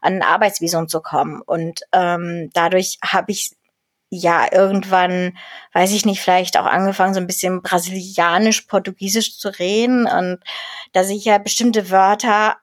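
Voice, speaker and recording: A woman's voice: fairly low pitch, flat delivery, normal speed, no room sound, clean studio sound. A young German woman talking casually as an interview guest, rambling with many fillers and restarts and laughing often.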